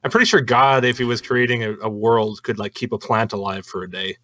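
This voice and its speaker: A man argues casually on a panel podcast, thinking out loud and swearing. His voice sits mid-range, in close, dry sound that is slightly muffled. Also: medium pitch, fairly quick, no room sound. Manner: profane, reasoning aloud. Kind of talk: casual argumentative chat.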